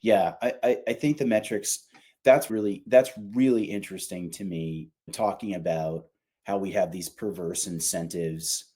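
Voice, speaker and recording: This is a man with a low-pitched, very mellow voice. He talks as an executive guest in a casual interview, putting his opinions in colloquial, emphatic terms. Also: moderate pace. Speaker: company revenue executive, podcast guest.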